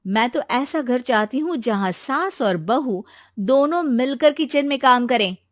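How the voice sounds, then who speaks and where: A woman plays a cheerful young bride-to-be in a cartoon, bold and playful. Her voice jumps up high with lively swings in pitch. It sounds dry and close. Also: measured pace, no echo. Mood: chirpy. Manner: chirpy, playful.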